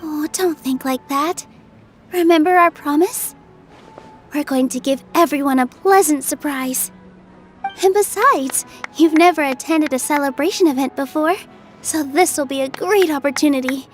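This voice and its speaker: A woman voicing a gentle, encouraging young woman in a video game, soothing and upbeat. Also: high-pitched, normal speed, faint music behind, lightly acted. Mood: cheerful, reassuring. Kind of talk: animated video game voice acting.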